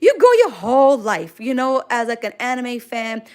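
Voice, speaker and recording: A woman's voice: fairly high pitch, moderate pace, a little mellow. A woman from Queens, chatting casually and effusively on a livestream as she answers viewer comments, full of exclamations. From Queens, New York City, United States. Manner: gushing, effusive, sprinkled with exclamations. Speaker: manga artist hosting a drawing livestream.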